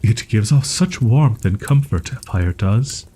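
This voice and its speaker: Young tsundere wolf-boy, played by a man, ASMR roleplay. Soft, dark, unhurried delivery; archaic wording, proud yet easily flustered and halting. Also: lowish voice, animated, slightly rough, exaggerated voice. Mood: wistful, awed. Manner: archaic formal diction, halting, flustered stammers. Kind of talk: audio roleplay, character acting, ASMR.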